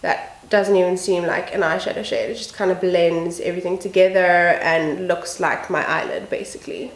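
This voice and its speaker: Croaky sniffly woman chatting through her makeup, upbeat, bit of room echo. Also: lowish voice, fairly even intonation, normal speed. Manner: chatty, upbeat, self-deprecating asides. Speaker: beauty vlogger. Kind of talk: casual makeup tutorial chat. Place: home room with building noise outside.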